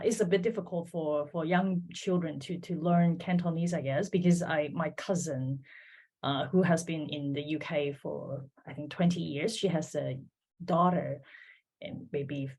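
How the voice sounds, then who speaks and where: A woman with a husky, mellow, rather low voice joins an online roundtable. She speaks softly and hesitantly, often in short bits. Her voice comes through a video call. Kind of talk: online panel discussion.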